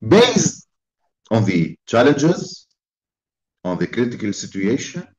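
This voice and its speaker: A man giving a formal conference talk, speaking in slow, emphatic phrases. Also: lowish voice, sing-song, a little mellow, reverberant, dull audio. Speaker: invited speaker.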